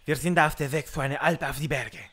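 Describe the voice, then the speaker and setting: Man doing spanish accent witch, calm n solemn, broken grammar, mid pitch, comedy audio play. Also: close to an everyday voice. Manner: deliberate, broken syntax. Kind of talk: comic audio drama acting.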